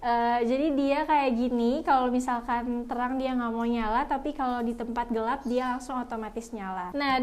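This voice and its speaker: A young woman with a high voice presents a product review in a casual, chatty way. She talks straight to her viewers in informal language. Clear background noise sits behind her voice. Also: darkish tone. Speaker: product review video host.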